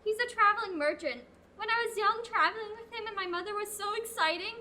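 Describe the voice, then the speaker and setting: A teenage girl voices a curious young novice bursting with questions, her voice very high and bright. She talks earnestly and a bit pleadingly, a plucky heroine in a homemade audio drama. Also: fast, slight background noise. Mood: chatty. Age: teens. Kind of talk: student radio play.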